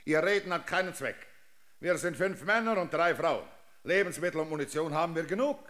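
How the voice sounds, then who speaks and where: Man acting a high-voiced, resolute pioneer. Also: brisk, a bit bright, natural voice. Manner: determined, declarative. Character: resolute, defiant male pioneer caravan leader. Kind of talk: German radio drama acting.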